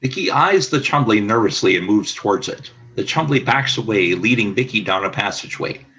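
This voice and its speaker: A man narrating a scripted radio play as announcer, in a formal, deliberate storytelling manner. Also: fairly low pitch, animated, pushed and bright, low-quality recording.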